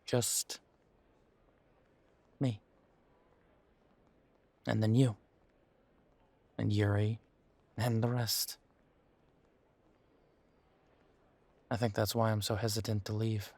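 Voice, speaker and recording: Slow, low-voiced, wounded werewolf played by a man. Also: little pitch movement, a little mellow. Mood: reluctant, uneasy. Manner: sardonic, hesitant, conversational. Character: bitter, wounded male werewolf confiding in his partner. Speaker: audio roleplay voice actor. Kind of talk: intimate audio roleplay acting.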